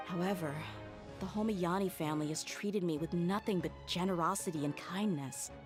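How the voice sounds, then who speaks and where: A woman voicing an earnest, loyal young female servant who speaks in a measured way, with soft game music behind. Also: lowish voice, natural voice. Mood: conflicted.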